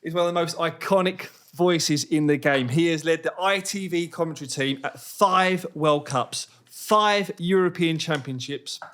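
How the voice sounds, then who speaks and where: Slightly high-voiced male podcast host. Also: moderate pace, few pauses, a bit bright. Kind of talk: casual chat.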